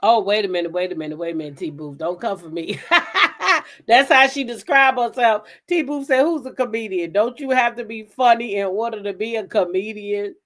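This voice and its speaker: A woman hosts a casual livestream, reacting to what she has watched. She speaks bluntly and emphatically and swears freely, with a mid-pitched voice. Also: normal speed, a bit bright. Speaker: livestream host. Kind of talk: casual live reaction commentary.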